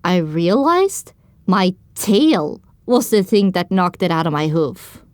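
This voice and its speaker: A woman narrating as a young pony heroine, telling her own story in descriptive, expressive scripted audiobook style. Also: on the low side, slow, no room sound.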